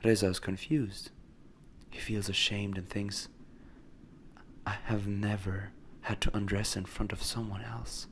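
A man with a fairly low voice narrates a story. He is measured and solemn, reading from a script. A faint bed of noise and ambience lies behind him. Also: little pitch movement.

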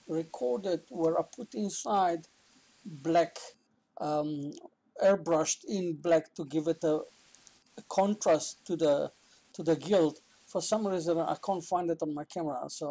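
An older man casually explaining a woodturning project in his workshop, plain-spoken and halting. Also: on the high side, mellow. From South Africa. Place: small indoor cluttered woodworking shop.

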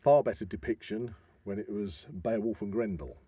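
Husky British man, chatty and jovial. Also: lowish voice, normal speed, warm tone, no room sound. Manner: jovial, chuckles often. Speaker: podcast co-host. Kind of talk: casual chat about films.

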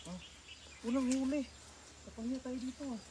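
A man's voice: extremely high pitch, moderate pace, warm tone. A man with a Filipino accent chatting casually as he works outdoors as a fishing livestream host. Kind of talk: casual chat while working. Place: outdoors on a grassy waterside bank. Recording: clearly noisy outdoor phone recording.

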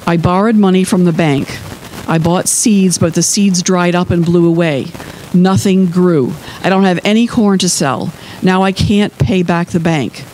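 A man voicing a gentle, sorrowful farmer father in a storybook, speaking softly and plainly. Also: sits high, measured pace, a little hiss or hum, low-quality recording. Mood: grave confession. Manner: soft, plain-spoken, grave.

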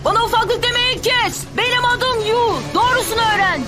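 A woman voicing a cocky, hot-tempered little boy in a cartoon dub, bratty and excitable. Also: very high voice, moderate pace, loud music behind the voice. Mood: indignant. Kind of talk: Turkish cartoon dub acting.

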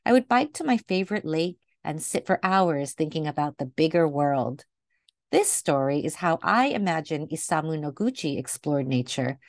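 A woman reading a picture book aloud to children in a gentle, deliberate way, recorded indoors over a video call. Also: deep voice, no room sound, clean studio sound. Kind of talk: read-aloud storytelling for children.

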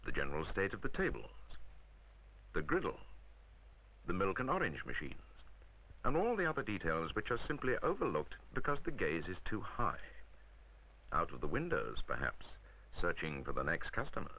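A British man with a deep voice and very animated intonation narrates a vintage 1960s instructional film. He is wry and emphatic, putting rhetorical points to the listener in a crisp scripted style, with a bright, forceful edge. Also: moderate pace, long pauses, a little hiss or hum, poor audio.

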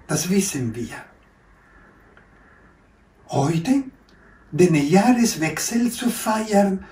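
An older man from Italy, a priest slowly giving a New Year's blessing from a room at home, filmed by a camera a little way off. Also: high voice, a little mellow. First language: Italian. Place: living room with window, indoors. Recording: echoey room, mic at a distance.

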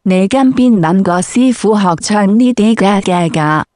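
A woman's voice: medium pitch, expressive, unhurried, darkish tone, dry recording, studio quality. A woman doing a crude, scripted comic interview in a brash, foul-mouthed way.

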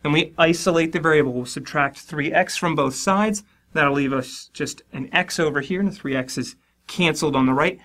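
A man in his forties teaches a math lesson at a board in a studio, talking in a slightly higher than usual voice. He explains each step plainly and slips in dry jokes at his own expense. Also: normal speed, fairly bright tone. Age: 40s. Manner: dry self-deprecating humor. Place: studio in front of a dark board.

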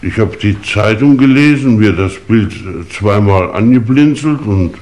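An actor playing a plain-spoken, opportunistic witness with a colloquial, folksy manner in a 1950s German radio play. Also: male voice, lowish voice, measured pace, a little mellow, lightly acted. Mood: matter-of-fact.

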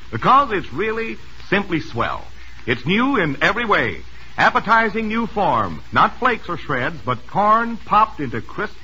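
A man's voice: fairly high pitch, bright, forceful tone, rough recording. An American man reading an old-time radio advertisement with polished, enthusiastic salesmanship. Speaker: radio announcer and pitchman. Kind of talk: 1930s-40s radio advertising read. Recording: old radio recording, faint noise.

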